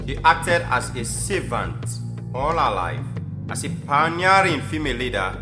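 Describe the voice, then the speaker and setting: Male narrator over clear music. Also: mid-range voice. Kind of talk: scripted audio drama narration.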